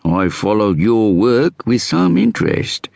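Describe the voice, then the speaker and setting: A man voicing a brash, self-assured Scotland Yard inspector with an East Anglian drawl and drawn-out vowels, in a swaggering, teasing manner for an audiobook. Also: lowish voice, very animated, vocal fry, a little mellow, no room sound, clean studio sound, voice pushed up, full character voice. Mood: boastful. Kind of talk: audiobook character voice.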